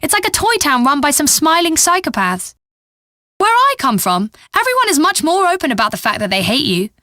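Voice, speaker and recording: An actress playing a cheeky, sardonic British radio DJ, delivering breezy, tongue-in-cheek patter between pop songs in a video game. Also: female voice, high-pitched, very animated, brisk, bright, forceful tone, no echo, clean studio sound, exaggerated voice. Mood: wry, upbeat.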